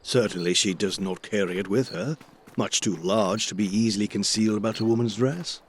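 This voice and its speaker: Man as low-voiced, earnest companion. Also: a little hiss or hum, lightly acted. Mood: puzzled, questioning. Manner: measured, storytelling. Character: loyal, earnest gentleman doctor, detective's companion and narrator. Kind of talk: audio drama narration and dialogue.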